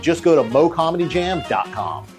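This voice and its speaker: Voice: mid-range voice, big pitch swings, normal speed, darkish tone, faint music behind. An advert announcer reading a scripted promo for an event.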